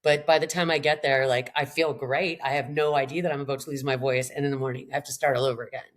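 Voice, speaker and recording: A middle-aged Canadian singer-songwriter woman with a slightly raspy voice, talking candidly in a podcast interview, rambling and self-deprecating. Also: very deep voice, fairly even intonation, quick pace. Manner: rambling, self-deprecating, frequent laughs and restarts.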